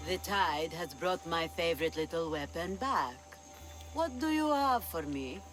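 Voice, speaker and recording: Bossy sly pirate queen in a game, theatrical, flirty, music loud behind. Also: on the low side, expressive, measured pace. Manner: theatrical, commanding. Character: commanding female pirate leader, sly, imperious, flirtatious. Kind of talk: video game cutscene acting.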